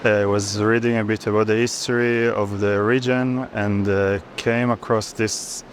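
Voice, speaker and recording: A man's voice: on the low side, level tone, moderate pace. A man in his thirties with an Israeli accent, an artist thoughtfully explaining his work in an interview, often searching for words. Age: 30s. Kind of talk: reflective explanation of own artwork. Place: busy art fair. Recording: clear urban background noise at fair.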